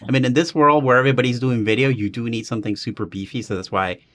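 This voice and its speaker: A man's voice: mid-range voice, steady tone, fast, fairly bright tone. A man hosting an interview podcast, asking long, rambling questions in a casual, digressive way. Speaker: podcast host.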